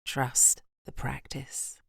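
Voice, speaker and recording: A British woman guiding a yoga nidra meditation in a soft, gentle, unhurried voice with long pauses, breathy at phrase ends. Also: no echo, studio quality. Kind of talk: guided meditation, slow and soothing.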